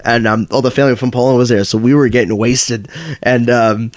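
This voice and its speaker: A young American man talks at a fast clip, stumbling through repeated restarts and tossing in swear words. The sound is close and dry, with no room echo. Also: medium pitch, fairly even intonation. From United States. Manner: rambling, stammering restarts, swears freely. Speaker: podcast guest. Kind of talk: casual chat.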